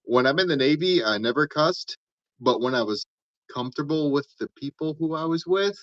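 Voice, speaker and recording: A man's voice: a little high, expressive, normal speed, no echo, lo-fi sound. A man guest telling stories in casual chat, hesitant and self-deprecating. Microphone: off camera, remote call.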